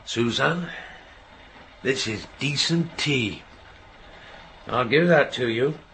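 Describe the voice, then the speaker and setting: An actor playing a proud, touchy middle-aged signalman father in a radio drama, gruff and lecturing. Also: medium pitch, animated, takes it slowly, a bit bright, slight background noise. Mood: fond, firm. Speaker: railway signalman in a radio play. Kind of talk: naturalistic radio drama acting.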